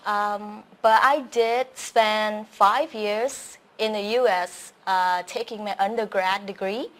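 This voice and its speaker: A young woman with a Vietnamese accent hosts a live-stream English lesson at an unhurried pace. She chats easily and fills her pauses with soft "uh" and "um" sounds. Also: mid-range voice, fairly even intonation. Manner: chatty, full of filler sounds. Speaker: host.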